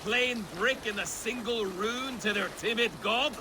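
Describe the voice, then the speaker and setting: A man's voice: very high voice, a little slow. An actor playing a brash, boastful male Viking warrior in a dramatic video game. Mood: scornful. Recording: very noisy boat and water background.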